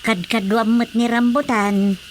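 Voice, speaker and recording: An actress playing a nagging, exasperated older wife in a radio comedy drama. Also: female voice, on the high side, fairly even intonation, measured pace, slight background noise, natural voice. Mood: scolding.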